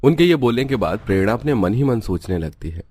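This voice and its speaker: A man's voice: mid-range voice, expressive, a little fast, darkish tone. A man giving scripted narration for a cartoon story in Hindi.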